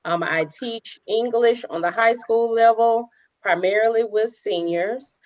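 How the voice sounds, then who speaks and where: A woman from Alabama, a high school English teacher, speaking earnestly on an education panel with frequent pauses. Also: highs cut off. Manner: earnest, measured, frequent um and you know. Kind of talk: panel discussion.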